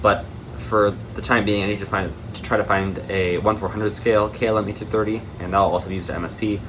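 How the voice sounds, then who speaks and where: A steady air-conditioning hum sits behind a man's low voice. He talks casually, like a hobbyist presenting an unboxing to viewers. His delivery rambles and tends to wander off on tangents. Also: fast talker. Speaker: hobby video host.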